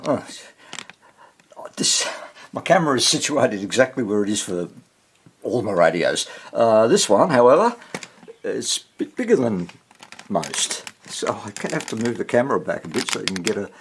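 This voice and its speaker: A man hosting a casual, unscripted radio repair walkthrough, thinking aloud hesitantly and muttering questions to himself, in a husky, gravelly voice. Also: lowish voice, big pitch swings, normal speed, long pauses. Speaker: radio repairer and video host.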